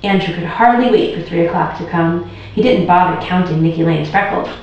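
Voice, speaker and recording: A woman's voice: fairly low pitch, brisk, darkish tone. A woman tutor reading a children's storybook aloud as narrator. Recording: very echoey room, distant muffled mic.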